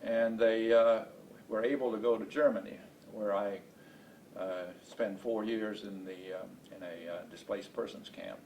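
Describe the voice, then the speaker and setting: A man in his late seventies from Estonia, a native Estonian speaker, recalling his life in an oral history interview in a halting, deliberate way with many hesitations. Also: fairly even intonation, darkish tone. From Estonia, later Baltimore, USA. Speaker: interview guest, space program veteran. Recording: distant mic, noisy rumbling background.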